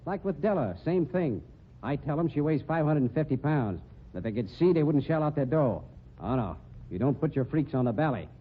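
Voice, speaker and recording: An actor playing a tough, bullying American sideshow boss who talks in exasperated slang, in an old-time radio drama. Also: male voice, on the high side, very animated, a little fast. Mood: exasperated, scornful. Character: gruff, bullying male carnival sideshow boss and barker. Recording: tinny, noisy vintage radio recording.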